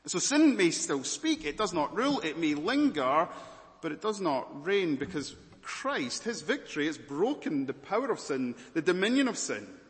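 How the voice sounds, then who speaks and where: A man with a Scottish accent preaching a sermon, moving between hushed and loud emphatic passages. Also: a little high, very animated, normal speed, big-room sound. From Malawi, raised as Scottish.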